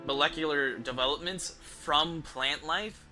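Slow-talking man streaming a game. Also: on the high side. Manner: sweary, rambling, halting. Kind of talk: casual livestream gameplay commentary. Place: small room at home, indoors. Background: game music.

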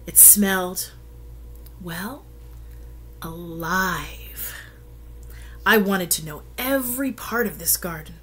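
Lady doing a kids storybook read-aloud, takes her time, hushed bits, faint room noise. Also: mid-range voice. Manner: measured, dramatic pauses, hushed breathy emphasis. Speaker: storyteller reading a picture book aloud. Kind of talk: expressive read-aloud for children. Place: indoor room at home.

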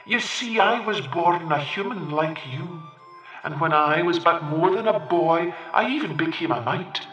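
A man playing a gentle, burdened young knight under a fairy spell, speaking softly and formally. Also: on the high side, animated, measured pace, muffled recording. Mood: grave. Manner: soft, grave, formal old-fashioned speech. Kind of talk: storytelling. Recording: roomy, distant mic with music.

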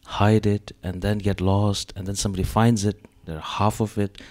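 A man with a lowish voice speaks on a panel before a seated gallery audience. He talks haltingly through a handheld mic, full of "uh" and "um". He sounds like a man in his thirties. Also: level tone, a little mellow. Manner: hesitant, many fillers. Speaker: panel artist. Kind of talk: panel discussion.

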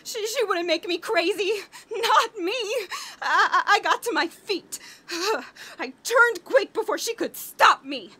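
An actress playing a frantic, haunted woman on the edge of madness in a horror radio play, halting and overwrought. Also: very high voice, animated, sharp tone, heavily acted. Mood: hysterical. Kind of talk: 1940s radio horror acting, dramatic monologue.

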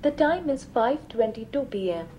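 A woman's voice: fairly high pitch, normal speed, highs cut off, a little hiss or hum. A text-to-speech female assistant voice answering questions politely, played from a small smart speaker in a room. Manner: even, polite, machine-read delivery. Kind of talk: synthetic assistant replies. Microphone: small speaker played back in a room.

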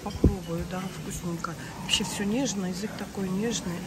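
A woman with a cold and a hoarse voice, chatting casually to viewers as she films a hotel buffet on her phone. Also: medium pitch, fairly even intonation, moderate pace, very noisy. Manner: chatty, talks to viewers while walking a buffet. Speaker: vlogger. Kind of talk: casual vlog narration. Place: hotel restaurant buffet, indoors.

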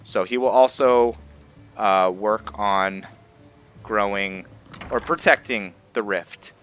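A man giving casual, halting, explanatory commentary as he hosts a gameplay video. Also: on the low side, fairly bright tone, dull audio, soft background music. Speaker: gaming video host. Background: video game ambience.

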